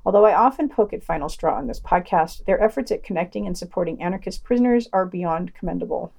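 A woman's voice: on the low side, lively intonation, fast talker, gravelly, darkish tone. A woman reading scripted podcast reviews as host, wry and opinionated, with casual asides.

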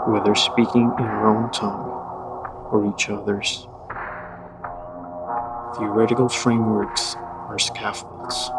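A man reading a poem slowly and gravely, pausing between short phrases. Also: on the low side. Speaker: poetry reader. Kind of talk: slow spoken-word poetry over music. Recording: loud music under the voice.

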